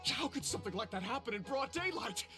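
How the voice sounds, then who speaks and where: A woman voicing a panicky, shaken townswoman in an anime video game, speaking in an alarmed, halting way. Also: a little high, lively intonation, over music, lightly acted.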